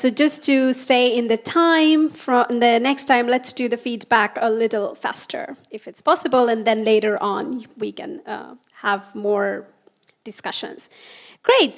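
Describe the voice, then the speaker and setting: A woman hosting a career event, upbeat and brisk as she reads out participant introductions. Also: a little high. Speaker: event host.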